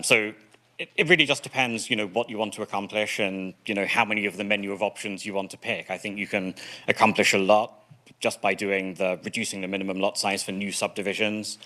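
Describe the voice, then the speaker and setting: A man with an English accent testifies before a legislative committee, explaining policy in a clear, articulate way. His voice is bright and forward, sitting a little low in pitch, and he lightens the delivery now and then with dry, self-deprecating humor. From England. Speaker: policy witness. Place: state committee hearing room.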